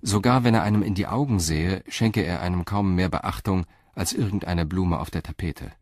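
A man narrating a radio crime play in a calm, measured storytelling manner. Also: low voice, no room sound, studio quality. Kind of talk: scripted audio drama narration.

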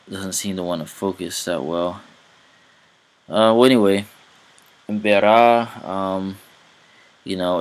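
A young man with a deep, low voice talks casually over a screen recording. He stops and restarts often, filling the gaps with ums and uhs. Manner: halting, many fillers and restarts. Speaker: language hobbyist vlogger.

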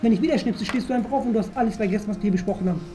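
Young female mock inspector, quick and mellow, improvised playful questioning. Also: medium pitch, soft background music. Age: young adult. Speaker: host playing a police inspector. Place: indoor studio room at a table.

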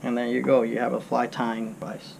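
Gravelly easygoing man showing fishing flies. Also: medium pitch, completely flat delivery, moderate pace, heavy background noise. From Florida. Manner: easygoing, rambling, lots of "you know". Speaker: fishing guide teaching lure making. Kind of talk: casual hands-on demonstration. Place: under a covered boatyard shelter outdoors.

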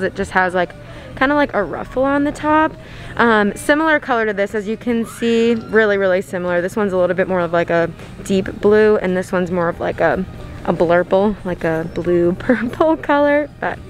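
Young woman, bubbly, gushing, filler-heavy, big store, handheld phone mic. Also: medium pitch. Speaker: shopping vlogger. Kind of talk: casual shop-with-me narration. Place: large retail store, indoors. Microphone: handheld phone camera.

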